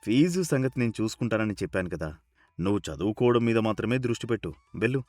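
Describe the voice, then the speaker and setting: A man voicing a kindly, encouraging boss with a measured, approving manner in a story. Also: lowish voice, animated, a little mellow, no room sound, soft background music.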